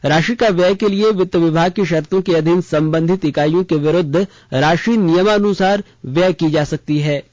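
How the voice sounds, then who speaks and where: A man with a notably high voice reads a scripted news bulletin. The sound is very dry and close, with the dull, lo-fi tone of a radio broadcast. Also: normal speed, few pauses, darkish tone, no room sound. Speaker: radio newsreader.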